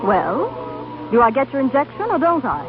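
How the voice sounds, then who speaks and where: A poised young society woman, composed and direct in 1930s radio style. Her voice is high. The vintage recording is muffled, with music clearly behind it. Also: very animated, normal speed. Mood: poised, eager.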